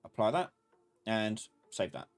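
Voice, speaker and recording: A man giving a live software tutorial as an instructor, informally thinking aloud and talking to himself as he works. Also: lowish voice, big pitch swings, unhurried, gravelly. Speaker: instructor of a live online class. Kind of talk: live software tutorial, explaining while working.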